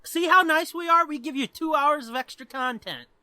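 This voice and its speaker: A man's voice: very high-pitched, moderate pace, pushed and bright, dry recording. A man co-hosting a podcast in casual, profane, rambling chat. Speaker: podcast co-host.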